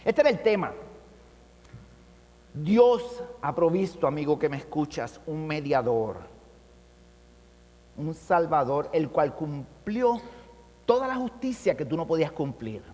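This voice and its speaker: A man with a high, ringing voice delivers an impassioned sermon, pushing his pitch up and down to hammer each point. His words trail into the long reverb of a church hall. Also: lively intonation, unhurried, pauses often, a little mellow. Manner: impassioned preaching, pitch swinging with emphasis. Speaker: pastor.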